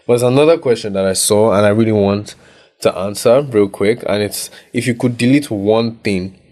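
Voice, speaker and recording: Young Nigerian man, low voice, studio podcast co-host, easygoing and reflective, tags his points with "right?". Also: moderate pace, a little mellow. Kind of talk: casual podcast chat. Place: recording studio.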